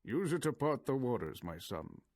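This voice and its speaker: A man's voice: medium pitch, big pitch swings, moderate pace, darkish tone, no room sound. A man voicing a solemn, godlike fatherly elder in a fantasy video game. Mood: solemn, majestic. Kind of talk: video game voice-over session.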